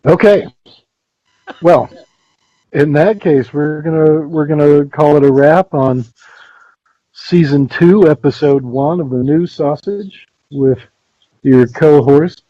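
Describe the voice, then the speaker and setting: Man, mellow voice, muffled dull audio, laid-back co-host, short agreeing replies. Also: fairly high pitch, no room sound. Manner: brief agreeing replies, gentle upbeat sign-off. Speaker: podcast co-host. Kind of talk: casual political chat.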